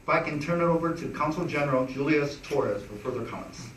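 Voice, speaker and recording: A man hosts a press conference and delivers prepared remarks at a measured, even pace. His voice sits at an ordinary pitch. It rings through an echoey room, picked up from some way off the mic. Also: far from the mic, slight background noise.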